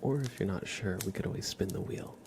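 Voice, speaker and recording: A man speaking gently and softly, at times whispering, as an ASMR livestreamer guiding a relaxing scene. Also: deep voice. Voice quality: soft, partly whispered. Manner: gentle, unhurried, casual. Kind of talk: ASMR, soft relaxing talk and guided visualization. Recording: clear crinkling and ambient noise.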